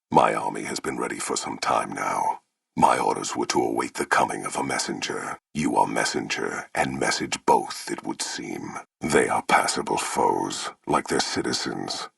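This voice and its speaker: An actor playing a merciless, imperious warlord in a video game, with a gravelly, husky voice and grandiose, menacing, formal speech. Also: male voice, a bass voice, fairly bright tone, dry recording, clean studio sound, exaggerated voice. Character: merciless, imperious warlord commanding a slave army. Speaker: army commander. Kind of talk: theatrical video game villain dialogue.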